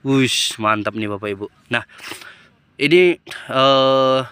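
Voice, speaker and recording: Smooth-voiced man. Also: mid-range voice, slow pace, fairly bright tone, no echo. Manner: hesitant, repeatedly addressing the audience. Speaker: farming channel host. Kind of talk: casual field vlog addressed to farmers. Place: outdoors in a chili field. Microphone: phone at arm's length.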